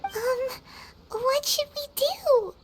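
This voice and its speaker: A tiny, chirpy fairy companion from a video game. The voice is piercingly high and childlike, with lively swings of pitch. Loud noise sits behind it. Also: female voice, drawn-out words, heavily acted. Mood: worried. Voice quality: squeaky, childlike. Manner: chirpy, exclamatory. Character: small childlike fairy companion, chatty, excitable, squeaky.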